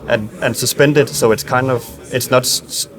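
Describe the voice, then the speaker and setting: A man's voice: mid-range voice, steady tone, moderate pace, crowd noise. A man giving technical explanations as an expert in a casual interview, hesitant and repeating words as he goes. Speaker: acoustic engineer, interviewed expert.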